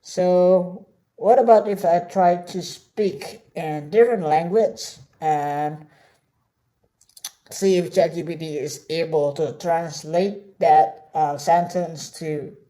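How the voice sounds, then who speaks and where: A woman's voice: low-pitched, slow, warm tone. A woman whose first language is Indonesian, teaching an online course over a screen share, casual with many fillers and check-ins to her viewers.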